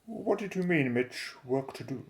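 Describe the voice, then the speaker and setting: A man's voice: a little high, lively intonation, normal speed, vocal fry. A man playing an earnest, eccentric pilot adventurer who speaks formally in a radio play.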